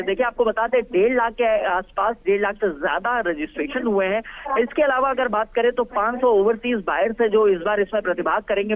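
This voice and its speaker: A male field reporter giving a live news report by phone, hesitant, with many fillers and restarts. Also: high-pitched. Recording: tinny phone line.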